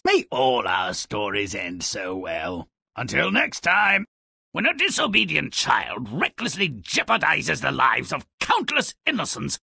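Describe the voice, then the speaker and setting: A man voicing a sardonic, mischievous, grotesque little fairy-tale creature who narrates a video game with raspy, theatrical, gleefully macabre sarcasm. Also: a little high, bright, forceful tone, dry recording, studio quality, exaggerated voice. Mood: mock-dramatic storytelling. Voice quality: raspy, gravelly. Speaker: character narrator.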